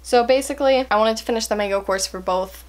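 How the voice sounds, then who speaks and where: A woman's voice: fairly high pitch, fast talker. Chatty young woman vlogging progress. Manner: chatty and candid, with frequent fillers and soft laughs. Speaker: language-learning vlogger. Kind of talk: casual talk to camera.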